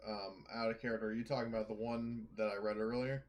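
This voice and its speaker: A man's voice: on the low side, flat delivery, fast talker. A man playing a tabletop roleplaying game, talking casually in and out of character in a blunt, argumentative way.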